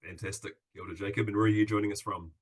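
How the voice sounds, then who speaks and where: A man with a New Zealand accent hosts a live online Q&A over a video call. His voice is fairly low and a little husky. He chats in an upbeat way and leans on many fillers. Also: fairly even intonation, rapid-fire. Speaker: webinar host. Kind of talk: live webinar hosting.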